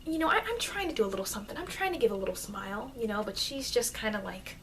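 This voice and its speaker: A woman playing a chatty, informal immortal vampire teacher in an ASMR roleplay, rambling hesitantly and confidingly, with soft dips into whispering. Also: fairly high pitch, expressive, fast talker. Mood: wistful, confiding. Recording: noisy amateur microphone.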